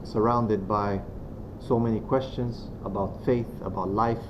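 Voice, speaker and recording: A man from Romania giving a religious talk to students as a guest speaker. He is soft-spoken and unhurried, and he pauses often and uses many fillers. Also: fairly low pitch, steady tone. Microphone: venue microphone. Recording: dull lo-fi sound with clear background noise.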